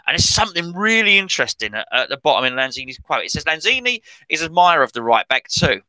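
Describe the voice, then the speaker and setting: A middle-aged man hosts a casual football podcast, rambling and correcting himself as he goes. His voice is bright and cutting and sits a little above the usual pitch for a man. The recording is dry, with no room sound. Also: very animated, fast, no echo, low-quality recording. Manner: chatty, rambling, self-correcting. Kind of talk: casual commentary on transfer news.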